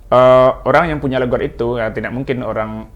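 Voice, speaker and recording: A man with a Minangkabau accent speaks as a guest on a talk show, at an average pitch. He explains his views at a measured pace and pauses often on hesitant fillers. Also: fairly even intonation, highs cut off. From West Sumatra, Indonesia. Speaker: language expert guest.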